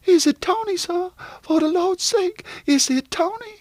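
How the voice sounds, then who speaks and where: A man voicing a devoted, excitable Southern woman servant in an audiobook story. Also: high-pitched, unhurried, no echo, clean studio sound, pitched above the performer's own voice, heavily acted. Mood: trembling hope.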